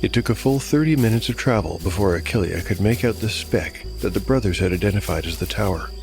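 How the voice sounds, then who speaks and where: Low-voiced man narrating dark fantasy. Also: animated, vocal fry, soft background music. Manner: measured, literary storytelling. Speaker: narrator and solo game master. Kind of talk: scripted audiodrama narration.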